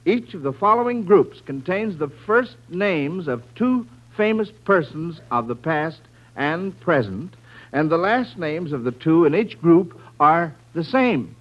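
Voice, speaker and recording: A man's voice: a little high, expressive, measured pace. An American man hosting a 1940s radio quiz in a folksy, chatty way, addressing children and listeners as friends. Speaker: quiz show host. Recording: muffled vintage radio broadcast.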